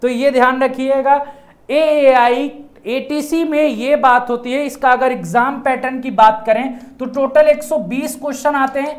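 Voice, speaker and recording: A man's voice: very high voice, steady tone, measured pace. A man in his thirties, an exam coaching teacher, explaining a job notice to students in a casual way, often repeating key points. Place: indoor studio in front of a projected screen.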